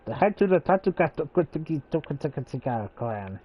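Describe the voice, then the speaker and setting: A man's voice: on the high side, sing-song, normal speed, dull audio. A man giving casual live gameplay commentary as a streamer, chatting as he plays. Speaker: game streamer. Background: game music.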